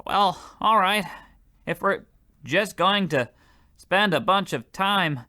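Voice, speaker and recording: A man as a high-voiced, flustered poor fellow. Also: animated, slow, pushed and bright, full character voice. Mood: flustered, stubborn. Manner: flustered, stammering, earnest. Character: poor, meek, cheerful, hapless man with worn-out boots. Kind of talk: old-time radio comedy acting.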